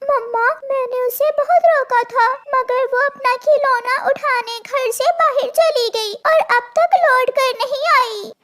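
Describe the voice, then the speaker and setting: A woman's voice: very high-pitched, a little slow, hardly any pauses, very noisy, voice pushed up. A woman voicing a young boy bird, a teasing and defensive older brother in a children's cartoon story. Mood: worried.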